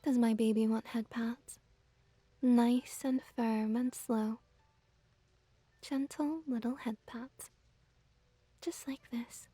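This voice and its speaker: A woman's voice: fairly high pitch, fairly even intonation, slow, pauses often, breathy edge, dry recording, soft background music. A woman playing a teasing, dominant yet doting kitsune witch in an ASMR roleplay, cooing and often dropping toward a whisper. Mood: tender, cooing. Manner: cooing, teasing baby talk, soft whispery passages.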